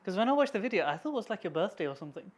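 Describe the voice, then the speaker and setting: A woman hosting a casual podcast chat, animated and slangy, laughing often. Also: mid-range voice, very fast. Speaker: podcast host.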